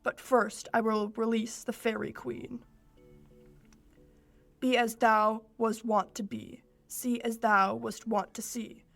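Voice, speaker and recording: A young woman playing a proud fairy king, reciting Shakespearean verse in a measured, declamatory way for a radio play. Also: a little high, level tone, vocal fry, fairly bright tone, soft background music, full character voice. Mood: commanding. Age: teens or young adult.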